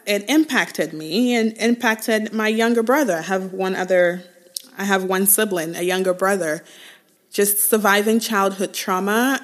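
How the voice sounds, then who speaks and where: A young woman from West Africa speaks at an unhurried, slow pace in a reflective personal monologue. She is thoughtful and halting, often circling back and repeating words before finishing a thought. Her voice sits at an ordinary middle pitch. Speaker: podcast host.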